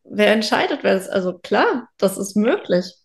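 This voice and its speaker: A woman's voice: mid-range voice, very animated, normal speed, vocal fry. A woman co-hosting a podcast, chatting casually and reflectively, thinking aloud as she goes. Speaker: podcast co-host. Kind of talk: casual conversational podcast chat.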